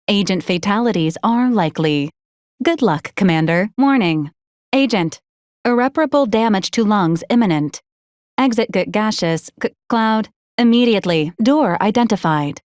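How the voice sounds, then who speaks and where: A woman's voice: mid-range voice, darkish tone, dry recording, clean studio sound, close to an everyday voice. A woman voicing a polite female robot companion in a video game, speaking in a formal, clipped, matter-of-fact and clinically detached way. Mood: cool, advisory. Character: polite, deadpan female robot companion unit assisting a commander. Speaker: robotic assistant. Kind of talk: scripted video game character lines.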